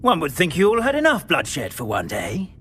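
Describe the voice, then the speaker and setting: An actor playing a sneering dark wizard gang boss, condescending and theatrical, in a fantasy game. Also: male voice, fairly high pitch, huge pitch swings, normal speed, slightly rough, exaggerated voice. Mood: sneering, veiled threat.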